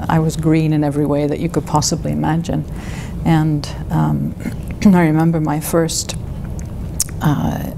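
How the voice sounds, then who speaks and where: A woman in her sixties from the United Kingdom, recalling her past in an interview and pausing often to search for words. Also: sits low, gravelly, noisy background. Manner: thoughtful, hesitant with many fillers. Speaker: interviewee, physician. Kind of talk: oral history interview.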